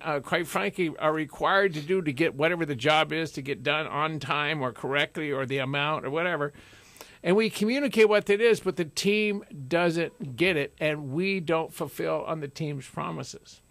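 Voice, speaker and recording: A middle-aged man hosting a radio talk show in a studio, talking loudly and forcefully with jokes. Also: on the high side, no room sound. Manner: loud, forceful, joking.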